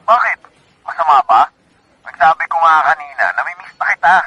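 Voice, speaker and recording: Raspy ex-lover over the phone. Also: male voice, fairly high pitch, animated, brisk, sharp tone, dry recording, dull audio, full character voice. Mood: longing, insinuating. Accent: Filipino. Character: persistent, brazen former lover with a family. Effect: telephone filter. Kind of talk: Filipino radio drama acting.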